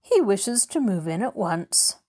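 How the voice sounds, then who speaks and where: A storybook landlord acted out by a woman reader, a grown man who is brisk and matter-of-fact. He sounds formal and reasonable but will not bend, and his pitch moves about in a lively way.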